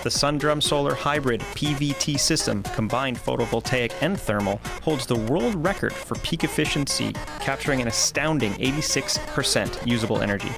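A man reading a scripted product advertisement in a smooth promotional manner. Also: mid-range voice, normal speed, few pauses. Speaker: ad announcer. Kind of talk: scripted ad read. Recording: music bed behind.

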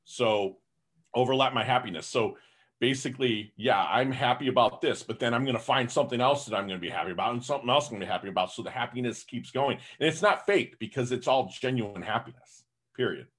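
A man talking as a podcast guest in a casual interview, upbeat and energetic, peppering his talk with "you know". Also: medium pitch, quick pace, breathy edge.

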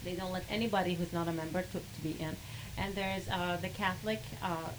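A woman with an Arabic accent who speaks haltingly, with many fillers, and laughs between sentences as she tells a personal story. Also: on the low side, fairly even intonation, noisy background. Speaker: guest sharing a testimony.

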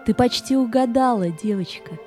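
A woman playing a gentle, assured spirit of light who guides children in an audio play. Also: on the high side, normal speed, over music, lightly acted. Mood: calm, kindly.

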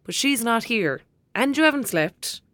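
A woman's voice: on the high side, very animated, a little slow, a bit bright, no echo. A cheeky young Irish country girl. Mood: concerned. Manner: teasing, wry, colloquial. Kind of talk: audio drama acting.

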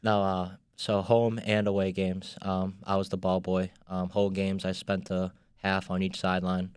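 A man's voice: deep voice, monotone, normal speed, a little mellow, clean tone, dry recording, studio quality. A young man with a Boston accent, a podcast guest telling a story with many ums and restarts. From Boston area. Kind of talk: casual podcast chat.

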